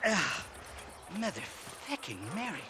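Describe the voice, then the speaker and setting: Boozy irish weasel, super high voice, slow and slurry, whiny wheedling, western game. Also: male voice, huge pitch swings, background noise, full character voice. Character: drunken, wheedling Irish scoundrel, comic and cowardly. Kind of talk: video game cutscene acting.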